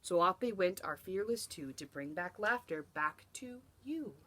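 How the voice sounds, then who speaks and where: Female teacher narrator: children's storybook read-aloud, rhythmic, expressive, dramatic emphasis. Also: lowish voice, a little slow.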